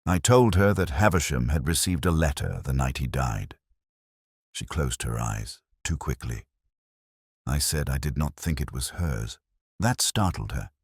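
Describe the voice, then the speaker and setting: A man playing a weary, erudite police inspector, narrating his case in a measured, melancholy, sardonic way as a literary audio drama. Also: extremely low pitch, lively intonation, a little mellow, husky, no echo, clean studio sound, full character voice. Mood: brooding.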